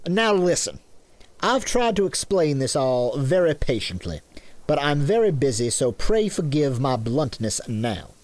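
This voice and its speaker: An actor playing a smooth-talking, entitled male elf landowner in a fantasy audio drama, pompous and formally polite. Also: a little high, very animated, a little slow, darkish tone, no room sound. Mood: firm, threatening.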